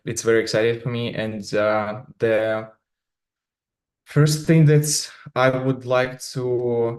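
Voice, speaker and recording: Russian-accented male speaker, online talk via video call, monotone, hesitant with many fillers. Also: lowish voice. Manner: halting, many fillers and restarts. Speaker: conference speaker. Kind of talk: remote conference presentation.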